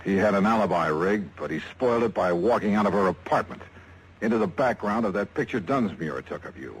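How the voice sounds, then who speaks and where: An actor playing a tough, dry-witted insurance investigator in clipped 1950s radio detective style. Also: male voice, fairly low pitch, lively intonation, brisk, slight background noise, lightly acted, poor audio. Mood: hardboiled, terse. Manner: clipped, terse, hardboiled. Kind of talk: 1950s hardboiled radio acting and first-person narration. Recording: vintage radio drama with music behind.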